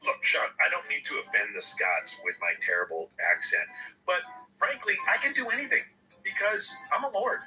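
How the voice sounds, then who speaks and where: Male podcast host for playful sponsor reads: higher-pitched, very bright, music underneath. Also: lively intonation, normal speed, recorded from a distance, poor audio. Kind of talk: sponsor read, partly scripted, with banter.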